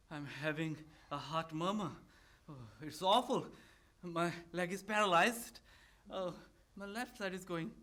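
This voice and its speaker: An actor playing a fussy, frail hypochondriac suitor in a stage farce, querulous, self-pitying and halting. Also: male voice, high voice, very animated. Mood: querulous, ailing. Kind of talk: stage farce read as audio drama.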